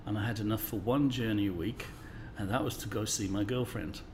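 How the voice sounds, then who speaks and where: Man in his 60s telling stories, measured. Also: lowish voice, a little mellow, slight background noise. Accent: neutralised English. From England, Midlands. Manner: friendly, anecdotal, measured. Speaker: guest. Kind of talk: conversational interview storytelling. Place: office.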